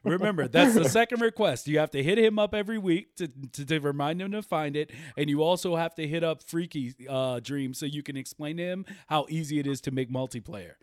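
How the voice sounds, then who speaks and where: A man's voice: on the high side, dry recording, studio quality. A man hosting a podcast, chatting with guests, injured and speaking from his bed. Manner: animated, laughing, then serious and measured. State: injured, bedridden. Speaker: podcast host. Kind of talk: casual podcast chat.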